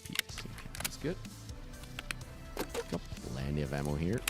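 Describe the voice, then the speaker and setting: A man's voice: a bass voice, sing-song, moderate pace, loud music behind the voice. A young man with a German accent, casually chatting and chuckling to himself while he plays a game and answers his chat. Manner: rambling, chuckling, self-talk while playing. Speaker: gaming streamer. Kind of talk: casual let's-play commentary, reading chat.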